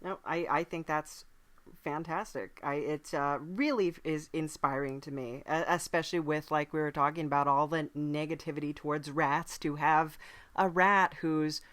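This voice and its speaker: A woman hosting a podcast in a casual comedic interview style, playful, with halting, drawn-out thoughts. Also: sits low, steady tone, a bit bright, no room sound. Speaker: podcast host.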